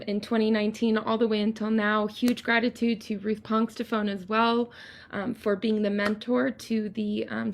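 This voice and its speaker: Woman, slightly high-pitched voice, festival host, informal live-stream welcome, thanks and announcements. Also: creaky.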